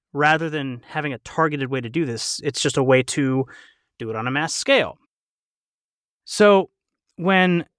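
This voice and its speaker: A man's voice: fairly high pitch, fairly bright tone, dry recording, studio quality. A man chatting casually as a podcast panel member, joking and digressing into speculation. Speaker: podcast panel member, cast of an audio drama.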